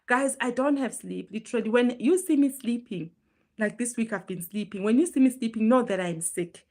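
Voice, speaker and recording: Hoarse, earnest woman hosting a live chat. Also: on the high side, moderate pace. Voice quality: normally very loud voice, pushing it now. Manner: chatty, earnest, greets viewers by name. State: hoarse, strained from illness. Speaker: live stream host. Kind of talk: casual motivational live chat.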